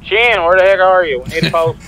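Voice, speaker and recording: Fast, higher-pitched man over car noise. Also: fairly bright tone, rough recording. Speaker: player in a police role-play game. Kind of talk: casual radio-style coordination in game. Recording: background car and street noise.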